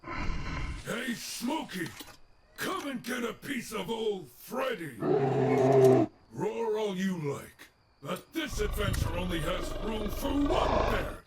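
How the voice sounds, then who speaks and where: Animated, grandiose male animatronic showman bear, acted by a man. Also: high voice, slow, recorded from a distance, heavy background noise, exaggerated voice. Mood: boastful, taunting. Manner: grandiose, formal, wordy. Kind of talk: audio roleplay acting.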